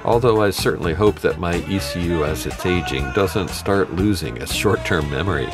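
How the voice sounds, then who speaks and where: A middle-aged man giving a scripted technical lesson in a steady, explanatory way with dry jokes. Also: sits low, moderate pace. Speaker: automotive tuning instructor. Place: dark studio set with purple lights. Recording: music bed underneath.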